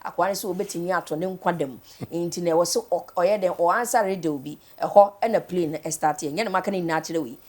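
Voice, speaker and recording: A woman with a Ghanaian accent hosting a casual, chatty TV interview, informal and animated, switching between English, Pidgin and a local language. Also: lowish voice, fast talker, few pauses. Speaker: TV morning show host.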